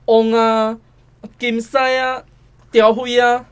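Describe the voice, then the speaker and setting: A man's voice: extremely high pitch, flat delivery, slow pace, clear, resonant tone. An actor playing a young working-class man who drinks alone and answers naively and evasively, in a radio drama. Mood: nervous, evasive. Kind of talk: Taiwanese radio drama acting.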